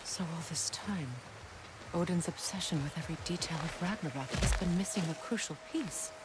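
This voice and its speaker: A woman's voice: lowish voice, normal speed, very noisy, natural voice. An actress playing a proud, grieving mother goddess in a video game, with a cutting, imperious, theatrical way of speaking. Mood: incredulous. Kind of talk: dramatic video game acting. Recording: loud music under the voice.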